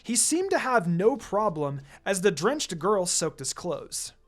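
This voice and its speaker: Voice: medium pitch, sing-song, a little slow. Fan fiction narrator with music behind. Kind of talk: scripted fan fiction story reading.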